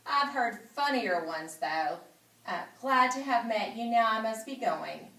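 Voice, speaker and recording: A woman voicing a polite, dryly critical, weary aging female spider in a children's storybook, in a measured way. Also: on the high side, expressive, bright, forceful tone, heavily acted. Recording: roomy echo, distant microphone.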